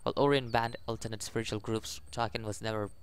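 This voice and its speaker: Young man reading game text haltingly. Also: fairly low pitch, fairly even intonation, smooth. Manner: halting reading, stumbles over words. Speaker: gaming YouTuber. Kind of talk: casual let's play, reading game text aloud with commentary.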